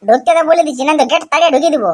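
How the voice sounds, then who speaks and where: Cartoon schoolboy, played by a woman: brash and mischievous, extremely high voice, rapid-fire Purulia Bengali accent, slangy boasting, slight background noise. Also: a little mellow, close to an everyday voice. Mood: defiant. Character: cocky, mischievous village schoolboy, brash and carefree. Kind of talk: comic cartoon dialogue.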